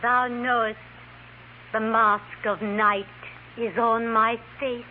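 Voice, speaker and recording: Casting: gracious touring English stage actress, late thirties, slow and stately, narrow vintage radio sound with music. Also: female voice, fairly high pitch, little pitch movement, a little hiss or hum. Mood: theatrical recitation. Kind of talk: 1950s radio drama acting.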